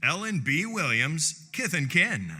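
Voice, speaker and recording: A man announces nominees by name and title. His pitch is a little high and very animated, with clear ambient noise behind him. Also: measured pace.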